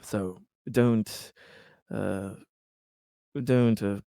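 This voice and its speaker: A man playing a weary, haunted colony officer in a tabletop roleplay, speaking haltingly and earnestly as he consoles a colleague. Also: lowish voice, fairly even intonation, vocal fry, mellow, dry recording, studio quality, full character voice. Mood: concerned, gentle. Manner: halting, grave, earnest, sighing. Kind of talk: improvised tabletop roleplay dialogue.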